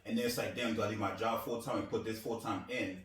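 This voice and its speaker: A man's voice: lowish voice, steady tone, quick pace, a bit bright. A man from Liberia chatting casually on a podcast, rambling loosely and often repeating himself. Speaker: podcast regular. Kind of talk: casual chat. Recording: echoey room, distant mic.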